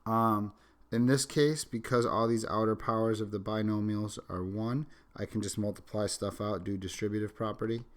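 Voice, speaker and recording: A man's voice: fairly low pitch, steady tone, normal speed. Sick man teaching calculus. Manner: talks through steps while writing, self-correcting asides. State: sick, sniffling. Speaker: math teacher. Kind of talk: recorded calculus lecture. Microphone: microphone.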